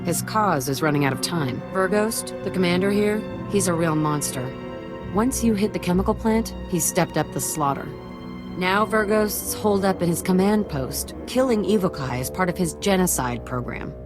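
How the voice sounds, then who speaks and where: A woman's voice: lowish voice, lively intonation, normal speed, natural voice. A woman playing a tough young Republic agent in a video game, brash, blunt and urgent. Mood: brisk, urgent. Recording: music behind the voice.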